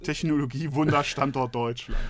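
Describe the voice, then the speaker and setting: A man's voice: medium pitch, steady tone, normal speed. A man co-hosting a casual chat, hesitant and stammering but teasing. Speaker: podcast co-host.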